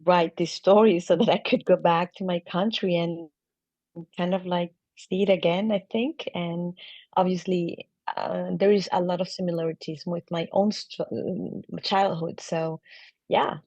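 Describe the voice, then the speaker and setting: Soft-spoken Peruvian-born woman, hesitant. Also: fairly low pitch, fairly even intonation, unhurried, dry recording, dull audio. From Peru. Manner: thoughtful, hesitant, many fillers, soft laughs. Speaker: film director guest. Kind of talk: podcast interview answers.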